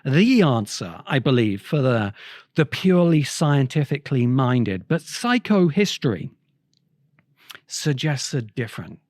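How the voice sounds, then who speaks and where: A man talks slowly and deliberately, like the host of a thoughtful essay show. His pitch sits in the middle for a male voice, and he leaves pauses between phrases in an erudite, lecturing style. The sound is dry, with very little room to it. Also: big pitch swings, darkish tone, no room sound. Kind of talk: reflective video-essay lecture.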